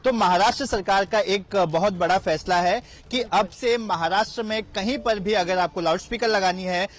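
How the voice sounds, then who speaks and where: A man giving an unscripted live news report, halting often with fillers and repeating his phrases. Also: high-pitched.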